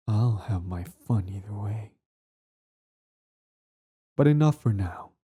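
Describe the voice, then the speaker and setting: A man playing a low-voiced, cold villain prince. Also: warm tone, slightly rough, heavily acted. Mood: mocking, sadistic. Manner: condescending, menacing, deliberate, theatrical. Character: cold, cruel young prince villain, taunting and possessive. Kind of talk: ASMR roleplay drama, scripted monologue.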